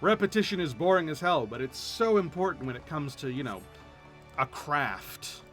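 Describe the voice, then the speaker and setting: A man's voice: a little high, very animated, moderate pace, fairly bright tone, soft background music. A man chatting casually as a streamer, emphatic and repeating himself to make his point. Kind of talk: casual livestream chat.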